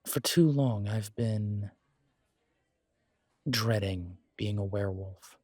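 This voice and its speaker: Man as a wry, husky-edged alpha werewolf. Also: fairly low pitch, a little mellow, no echo, studio quality. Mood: reflective. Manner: sardonic, measured. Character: wry male alpha werewolf, ex-military, loyal and self-deprecating. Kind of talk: scripted audio roleplay drama.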